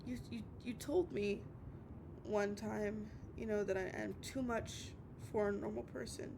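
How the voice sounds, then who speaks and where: A woman's voice: steady tone, vocal fry. A woman playing an obsessive, heartbroken young woman on a late-night call, stammering and repeating herself in a dramatic audio roleplay monologue. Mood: anguished. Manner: halting, stammering, repeating words. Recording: noisy with low rumble.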